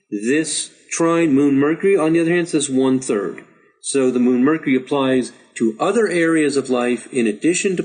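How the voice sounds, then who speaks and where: A middle-aged man who is losing his voice, patiently walking learners through a software chart tutorial and urging them to practice. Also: fairly high pitch. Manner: patient, repetitive, encouraging. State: slightly hoarse, losing his voice. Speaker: astrology teacher. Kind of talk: screen-share software tutorial.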